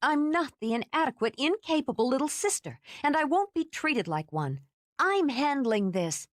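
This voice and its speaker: Narrator lady doing a furious young woman, way higher pitched, sharp and snappy, dry sound. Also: very animated, moderate pace, dry recording. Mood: indignant. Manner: sharp, indignant, rising to shouts. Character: fierce, tightly wound young woman.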